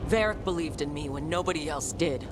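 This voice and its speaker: A man plays a young game hero, earnest and stung, with a high male voice. The delivery is blunt and emotional, cutscene drama through and through. Ambient rumble is clearly heard behind it. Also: a little fast, natural voice. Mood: hurt, accusing.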